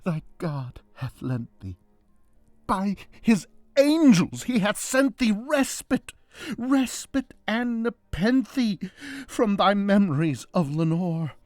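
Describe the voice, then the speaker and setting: A man's voice: sits high, very animated, slow pace, a little mellow, no echo, pitched above the performer's own voice, heavily acted. A man performing a grief-maddened, desperate gothic narrator in impassioned, theatrical verse. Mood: anguished fury. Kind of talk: dramatic gothic poetry performance. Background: string quartet music.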